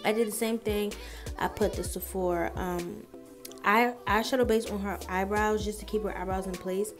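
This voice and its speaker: Woman, mid-pitched, chatty and informal makeup tutorial voice-over over music. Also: fairly even intonation, normal speed. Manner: chatty, informal, addressing viewers. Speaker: makeup artist narrating a tutorial.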